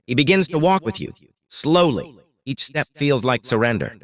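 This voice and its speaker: Man, guided meditation narrator, soothing, deliberate, short paused phrases, highly varied intonation. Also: very animated, fairly bright tone.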